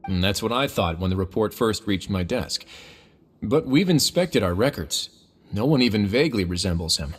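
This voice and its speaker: An actor playing a composed, authoritative duke in a video game, with a measured, dry, matter-of-fact manner. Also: male voice, lowish voice, lively intonation, slight background noise, natural voice. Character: composed, authoritative male duke running a prison fortress.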